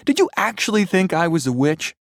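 A mischievous storybook girl, giggly and teasing, as a man puts her on. The voice leaps high with broad swings, dry and close. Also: very animated, extremely slow, a little mellow, dry recording, full character voice.

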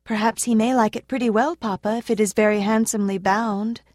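A woman voicing a sweet, earnest little girl who speaks in a soft, pleading way. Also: medium pitch, steady tone, a little fast, no echo, studio quality, pitched above the performer's own voice, close to an everyday voice.

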